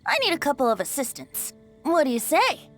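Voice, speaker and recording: A woman's voice: high voice, wildly swooping pitch, brisk, a bit bright, heavily acted. A woman voicing an impish, boyish young bard in a video game, with a theatrical, teasing, flowery way of talking. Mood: breezy, persuasive. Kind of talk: English video game voice acting. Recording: music behind the voice.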